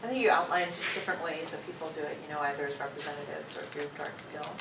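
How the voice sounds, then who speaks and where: A woman's voice: sits low, steady tone, fast talker, gravelly. A woman moderating a panel, brief and inviting. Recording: echoey hall from far off, noisy.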